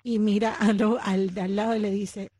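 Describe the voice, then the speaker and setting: A woman's voice: mid-range voice, level tone, moderate pace, dark tone, slight background noise. A woman with a Cuban Spanish accent co-hosting a radio show, telling stories in casual banter and laughing often. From United States. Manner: chatty storyteller, laughs often.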